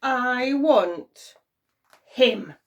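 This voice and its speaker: A woman's voice: on the high side, expressive, extremely slow, recorded from a distance, lo-fi sound, pitched above the performer's own voice, exaggerated voice. A woman voicing a sly, taunting little magical man from a children's storybook, sneering and cackling with glee. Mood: sly, menacing.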